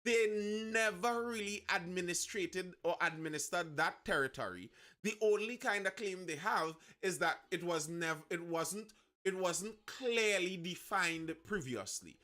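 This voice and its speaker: A man's voice: high voice, animated, a little slow, sharp tone. A man with a Trinidadian accent hosting a live news discussion, talking conversationally and often rephrasing his points. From Trinidad and Tobago.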